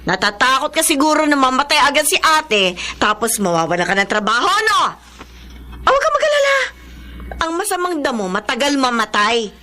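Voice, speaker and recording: A spiteful younger sister in a radio melodrama. Her high, piercing voice swoops wildly as she taunts, with faint noise behind it. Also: sing-song, brisk, sharp tone, exaggerated voice. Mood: spiteful, gloating. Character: spiteful, indignant younger sister, gloating and gossipy. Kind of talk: radio drama acting.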